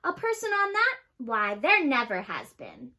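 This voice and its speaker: A young woman doing a squeaky jeering baby kangaroo. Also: wildly swooping pitch, a little slow, bright, forceful tone, heavily acted. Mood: mocking. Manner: mocking. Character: small scornful young kangaroo in a pouch.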